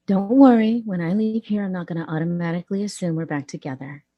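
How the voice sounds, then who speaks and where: A woman acting in an audio drama as a bold, flirtatious, gossipy best friend with a sassy, expressive conversational manner. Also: lowish voice, fast, dull audio, natural voice. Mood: teasing, flirtatious.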